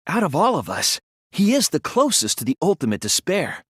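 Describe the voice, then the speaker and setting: A man voicing an earnest, anxious, thoughtful teenage student detective in a video game, with a hesitant, soft, slightly husky delivery. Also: on the high side, wildly swooping pitch, normal speed, no echo, clean studio sound, full character voice. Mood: explanatory, grave. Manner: hesitant, stammering when flustered, earnest. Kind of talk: English video game character voice acting.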